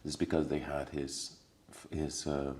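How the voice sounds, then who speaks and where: A man's voice: a bass voice, level tone, a little slow, a little mellow. A man talking as a podcast guest, telling stories earnestly with many restarts and repetitions. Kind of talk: casual conversation.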